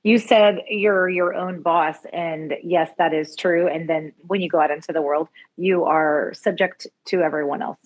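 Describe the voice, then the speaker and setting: A middle-aged woman speaks as a podcast guest, giving style advice in a casual way. Her voice sits a little lower than most women's. She is emphatic and backs up her points with short affirmations. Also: steady tone, moderate pace, hardly any pauses, low-quality recording. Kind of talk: casual interview conversation.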